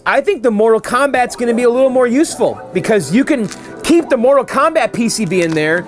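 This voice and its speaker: A man hosting a casual show, answering viewer mail in a chatty way and often checking in with "okay?". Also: very high-pitched. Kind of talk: casual chat.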